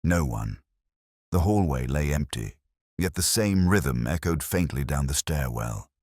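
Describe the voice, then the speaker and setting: A man narrating a detective story in a dramatic, measured and suspenseful way. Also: extremely low pitch, lively intonation, a little mellow, no room sound, clean studio sound. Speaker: audiobook narrator.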